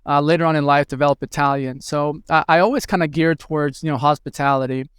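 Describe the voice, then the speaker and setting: Smooth-voiced man from Venezuela. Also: on the high side, fairly even intonation, fast, fairly bright tone, dry recording, clean studio sound. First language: Spanish. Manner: hesitant, many fillers and restarts. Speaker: guest, hospitality tech executive. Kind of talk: conversational interview answers.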